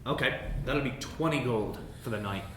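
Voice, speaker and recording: A man voicing a small, greying dwarf innkeeper, brisk and folksy, in a tabletop game. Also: medium pitch, reverberant, heavy background noise, close to an everyday voice. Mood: brisk, businesslike.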